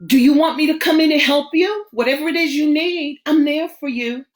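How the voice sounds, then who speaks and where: A woman voicing an eager, scheming young woman whose lines jump high and pleading. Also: big pitch swings, takes it slowly, fairly bright tone, faint music behind.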